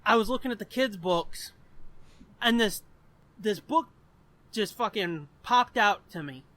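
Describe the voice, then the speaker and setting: Man, twenties, high pitched, forceful, profane rambling podcast chat. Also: expressive, measured pace. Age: 20s. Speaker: podcast co-host. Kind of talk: casual chat.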